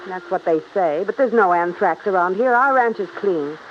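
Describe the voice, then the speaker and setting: A woman's voice: medium pitch, expressive, normal speed, lightly acted. Actress as grieving young ranch woman, muffled radio. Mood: bitter, grieving. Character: grieving, stubborn young female ranch heiress. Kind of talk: 1950s radio drama acting. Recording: muffled, hissy old radio recording.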